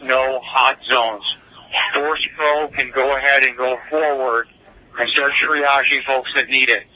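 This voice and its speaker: A man's voice: medium pitch, fairly even intonation, measured pace, no room sound, lo-fi sound. A man giving terse operational emergency reports over a police scanner radio.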